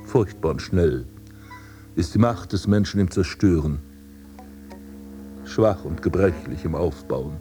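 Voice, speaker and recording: An actor playing a vain, scornful prince and landscape gardener in a radio drama, speaking in pompous, ornate declamation. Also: male voice, low voice, animated, measured pace, pauses often, warm tone, faint music behind. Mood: solemn, declaiming. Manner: pompous, declamatory, ornate old-fashioned diction. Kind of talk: literary radio drama acting, monologue and dialogue.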